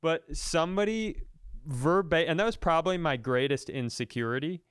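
A man's voice: a little high, moderate pace, fairly bright tone, clean tone, no echo, studio quality. A man talking as a comedian podcast host in casual banter, rambling and riffing with plenty of swearing. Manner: rambling, riffing, profane storytelling.

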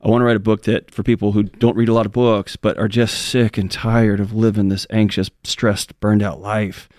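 A man's voice: fairly low pitch, level tone, normal speed, darkish tone, no echo, studio quality. A man co-hosting a talk show, speaking earnestly in casual conversation with frequent restarts. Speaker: co-host.

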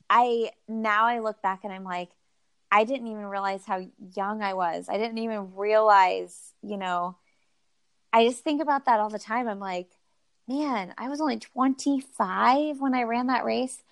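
Chatty woman in her thirties, gravelly. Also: on the high side, unhurried, no echo, studio quality. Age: 30s. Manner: chatty, laughs often, self-deprecating. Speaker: podcast host, guest. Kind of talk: casual interview chat.

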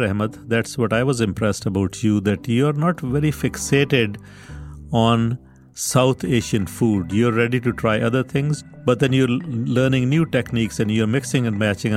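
A man, the reporter's father, earnestly praising his son's cooking. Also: medium pitch. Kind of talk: interview answer. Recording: faint guitar music behind.

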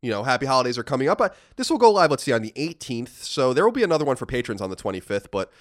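Rapid-talking stuffy man with a cold. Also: medium pitch, very animated. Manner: rapid, reads listener letters aloud. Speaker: podcast co-host. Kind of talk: casual podcast chat.

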